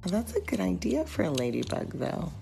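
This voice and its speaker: A woman's voice: fairly low pitch, huge pitch swings, brisk, gravelly, warm tone, soft background music. A woman podcast co-host chatting casually with a child in an agreeable, encouraging way. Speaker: podcast co-host, mother talking with her child.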